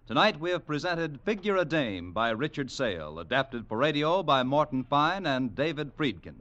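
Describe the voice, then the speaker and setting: A man's voice: mid-range voice, a bit bright. A man with an American accent announcing in a 1940s radio style. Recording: muffled old radio broadcast.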